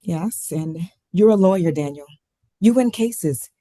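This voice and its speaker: A woman's voice: on the low side, lively intonation, a little slow, mellow, no room sound, studio quality. A woman reading the part of a weary, guarded wife in a failing marriage at a drama table read. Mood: hurt, accusing.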